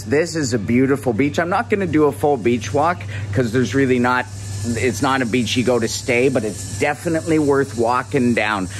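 A man's voice: mid-range voice, a little hiss or hum. A man in his forties giving a chatty, upbeat walking tour of a tropical beach, filmed at arm's length outdoors. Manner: upbeat, chatty, repeats words. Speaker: travel vlogger. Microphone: selfie camera at arm's length.

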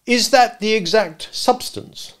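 Storybook bully played by a man: sarcastic gentleman cross-examiner, high-pitched, forceful and domineering in delivery. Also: lively intonation, measured pace, vocal fry. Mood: bitter, accusing. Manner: interrogative, sarcastic, emphatic, domineering. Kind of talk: dramatic audiobook character reading.

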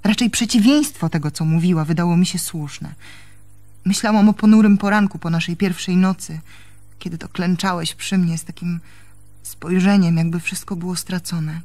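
Actress as a troubled, reproachful lover, music behind. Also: female voice, on the low side, moderate pace, a little mellow, natural voice. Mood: wistful, confessional. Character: troubled young woman, reproachful yet devoted lover. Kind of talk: Polish radio play acting.

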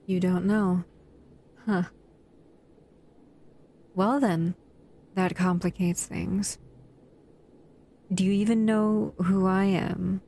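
Female humanoid monster, played by a woman for audio roleplay: bored, teasing predator, unhurried delivery, somewhat low pitch. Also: warm tone. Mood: bored, imperious. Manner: languid, teasing, menacing. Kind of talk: slow audio roleplay to the listener.

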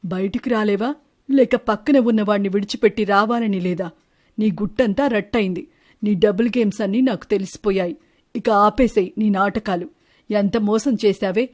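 A woman's voice: medium pitch, normal speed, a little mellow, natural voice. A woman voicing a suspicious male bird. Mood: angry. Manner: confrontational, questioning. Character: suspicious, wronged male bird husbands and lovers, accusing. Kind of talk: animated Telugu moral-story dialogue.

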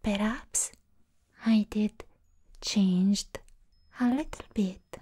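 Young woman whispering asmr roleplay as a possessive goth doll, super slow, soft and dark, really breathy. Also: mid-range voice. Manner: slow, hushed, deliberate, with long pauses. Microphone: close microphone.

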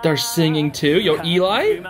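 Casting: young male reaction host, high and very lively delivery, excitable slang. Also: measured pace. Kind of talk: casual live reaction commentary. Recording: music playing behind the voice. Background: stage show music.

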